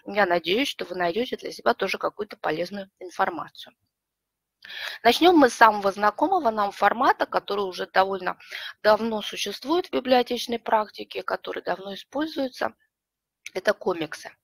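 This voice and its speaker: A middle-aged woman presents an online lesson in a hoarse, creaky voice thick with a cold. She explains things step by step, with hesitant pauses as she picks her words. The sound is dry and close, with little room in it. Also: mid-range voice, no echo. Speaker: webinar presenter, librarian and teacher. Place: small room with bookshelves, indoors.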